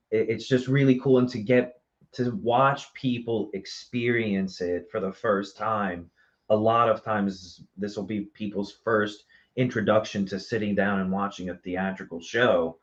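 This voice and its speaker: A man's voice: fairly low pitch, fairly even intonation, measured pace. A man talking casually as a performer being interviewed, recorded on dull lo-fi live stream audio. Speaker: magician and theater guest.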